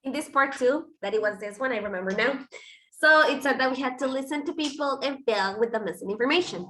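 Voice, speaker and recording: A woman's voice: sits high, fast, bright, forceful tone, poor audio. A woman who is a native Spanish speaker, teaching English online and calling on students in an informal, self-correcting way. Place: online video class.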